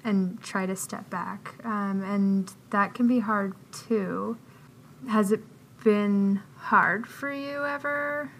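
A woman interviewing a guest casually, asking thoughtful questions slowly with fillers. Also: mid-range voice, slight background noise.

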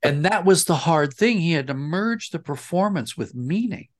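A man's voice: a little high, animated, moderate pace. A man answering as an interview guest, explaining thoughtfully and rephrasing as he goes. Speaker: interview guest, audio drama writer and director.

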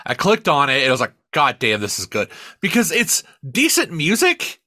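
A man's voice: fairly high pitch, huge pitch swings, normal speed, sharp tone, no echo, studio quality. A man co-hosting a podcast, chatting casually and enthusiastically about media, with plenty of swearing. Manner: profane, enthusiastic. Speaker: podcast co-host.

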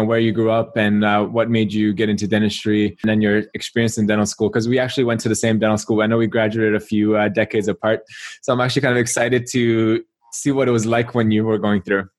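A young man hosting a dental podcast, reading a friendly intro then chatting casually with frequent fillers. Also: medium pitch. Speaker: podcast host and dentist. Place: Australia.

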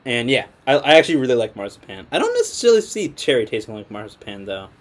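A man with Latvian as his first language chats on a casual podcast, his voice at a middling pitch. He rambles, stops and restarts his sentences, and laughs often. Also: very animated, fast. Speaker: podcast co-host. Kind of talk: casual chat.

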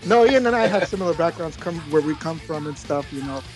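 A man from Spain, a guest on a podcast, friendly and enthusiastic, talking a bit haltingly. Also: on the high side, lively intonation, over music. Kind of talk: casual podcast chat.

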